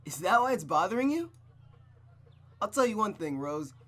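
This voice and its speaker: Man putting on a loud cocky surfer-boy suitor. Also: high-pitched, very animated, moderate pace, voice pushed up. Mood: playfully wooing. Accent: surfer American.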